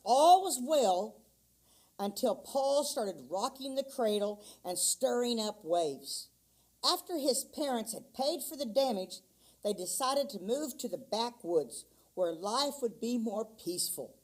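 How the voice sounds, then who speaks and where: Woman storybook reader, slow and animated delivery, a touch breathy. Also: a little high. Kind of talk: reading a picture book aloud. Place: church.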